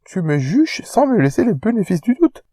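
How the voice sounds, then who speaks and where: A man's voice: high-pitched, sing-song, moderate pace, warm tone, dry recording, clean studio sound. A man voicing an indignant, snobbish bourgeois mother in a fiction podcast, scolding dramatically. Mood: angry, scolding.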